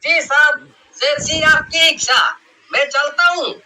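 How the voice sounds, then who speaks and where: A man voicing an obsequious, deferential salesman in an animated horror story. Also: very high-pitched, takes it slowly, pitched above the performer's own voice, heavily acted, rough recording. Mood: eager, servile. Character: obsequious male house agent, smooth salesman.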